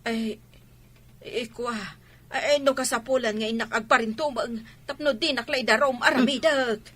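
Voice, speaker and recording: A woman's voice: on the high side, fairly even intonation, moderate pace, fairly bright tone. A woman with an Ilocano accent playing a flustered village gossip, stammering and pleading in a comedic radio drama.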